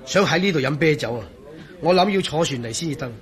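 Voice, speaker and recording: A man acting as a genial, easygoing engineer in a radio drama, speaking in a chummy, expressive way with a Hong Kong Cantonese accent. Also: fairly high pitch, quick pace, lightly acted. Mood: earnest. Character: genial, easygoing male engineer and old friend.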